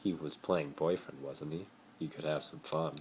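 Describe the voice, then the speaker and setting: A man with a very deep voice reads a story aloud as an amateur. He trips over words and corrects himself as he goes. The phone recording has a faint layer of noise. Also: steady tone, moderate pace, darkish tone, rough recording. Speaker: amateur reader of fan fiction. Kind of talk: dramatic story reading.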